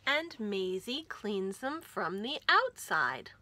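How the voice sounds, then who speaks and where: Bright, lively woman reading to children. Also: mid-range voice, slow, slight background noise. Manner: expressive, enunciated, addressing children directly. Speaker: children's library storytime host. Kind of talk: animated read-aloud storytelling for young children.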